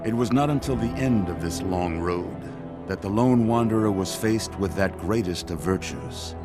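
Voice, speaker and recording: An actor playing a solemn game narrator, grave and deliberate, telling an epilogue in a portentous cinematic style. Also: male voice, lowish voice, over music, close to an everyday voice. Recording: voice over loud score.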